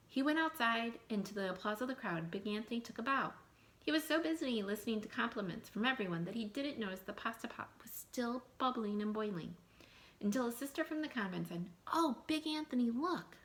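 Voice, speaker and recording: A woman reading a picture book aloud to children in an animated, expressive way, adding asides and questions in mock surprise. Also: a little high. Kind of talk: animated read-aloud storytelling with asides to young listeners.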